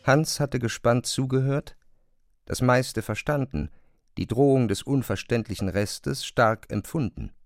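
A man reading literary fiction as a scripted narration, precise and expressive. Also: medium pitch, measured pace, dry recording, clean studio sound. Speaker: audiobook narrator.

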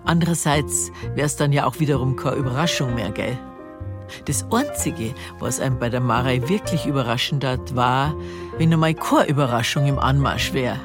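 Actress as gossipy bavarian village storyteller lady, deep voice, wry and knowing, narrating a radio play. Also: moderate pace, music in the background.

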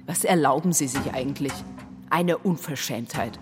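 An actress playing an indignant ghost of a daughter-in-law in a radio drama, formal and cutting. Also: female voice, a bass voice, moderate pace. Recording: long hall reverb, faint music.

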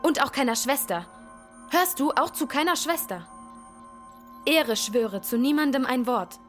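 A woman's voice: high-pitched, expressive, a little slow, soft background music. A woman playing a bold, secretive schoolgirl, bossy and conspiratorial, in a radio drama dialogue. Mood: conspiratorial, commanding.

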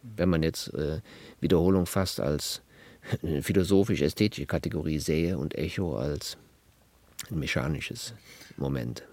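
Very deep, dry-sounding academic man. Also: a little slow, a little mellow, no room sound. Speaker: interviewee. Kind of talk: intellectual interview talk.

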